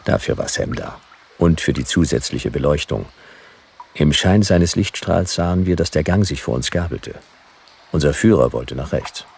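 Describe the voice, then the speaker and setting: A man's voice: extremely low pitch, expressive, normal speed, darkish tone, slight background noise. A man playing a world-weary private detective in a radio drama, speaking in dry, laconic, clipped phrases. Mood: dry narration. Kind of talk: noir radio drama narration and dialogue.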